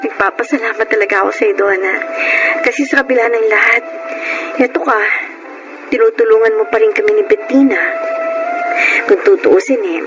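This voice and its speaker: A woman playing a gentle, grateful young mother in a Filipino radio drama, speaking earnestly with a Filipino accent. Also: a little high, expressive, moderate pace, long pauses, muffled recording, close to an everyday voice. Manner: earnest, heartfelt. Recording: music bed under the voice.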